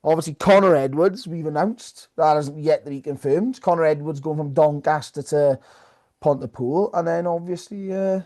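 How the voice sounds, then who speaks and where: A man's voice: on the high side, normal speed, darkish tone. A man co-hosting a sports podcast, chatting casually about rugby.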